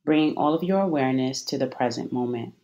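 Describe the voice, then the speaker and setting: A meditation guide with a medium-pitched voice speaks in a soothing, instructional way. Phrases are measured and set apart by deliberate pauses, in the manner of a guided visualization. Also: mellow, muffled recording.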